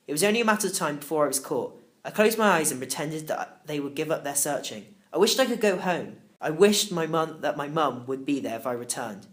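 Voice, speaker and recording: A man narrating a children's novel in the first person, expressive and theatrical. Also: fairly high pitch. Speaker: audiobook narrator.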